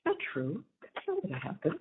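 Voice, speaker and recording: A woman's voice: lowish voice, wildly swooping pitch, brisk. A woman in her seventies talking casually on a phone call in an indignant, emphatic way. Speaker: mother on a call with her son. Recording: creaky, noisy tinny phone line.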